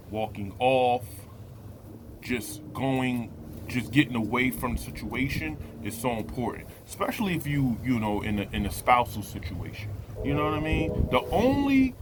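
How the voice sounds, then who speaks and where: A man's voice: medium pitch, fairly bright tone, a little hiss or hum. A man in his late thirties talking casually and off the cuff to viewers, using colloquial street slang. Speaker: vlogger giving a personal testimony. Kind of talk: casual unscripted personal talk to viewers.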